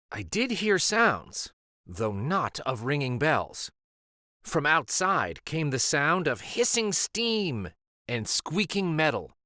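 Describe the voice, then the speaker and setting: A man reading a children's picture book aloud as a storyteller, in an expressive, deliberate manner. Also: on the high side, dry recording, studio quality.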